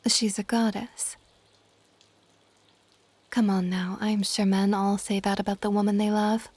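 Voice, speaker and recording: A woman voicing an ancient, gentle and possessive dragon goddess in a soft, intimate, partly whispered ASMR roleplay. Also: medium pitch, flat delivery, a little slow, breathy edge, no room sound. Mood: gently probing. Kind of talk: ASMR romantic audio roleplay.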